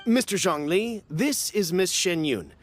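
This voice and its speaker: A man's voice: sits high, expressive, a little slow, soft background music. A man playing a nervous, eager merchant in an anime game, stammering and ingratiating. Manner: fawning, stammering.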